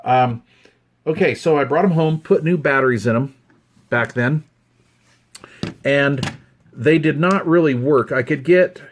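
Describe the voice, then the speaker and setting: A man's voice: on the high side, measured pace, long pauses, slight background noise. Middle-aged Western American man, casual. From United States, Utah. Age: 50s. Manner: rambling, conversational. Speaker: hobbyist video host. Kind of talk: casual hands-on show-and-tell. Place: indoors at a wooden desk.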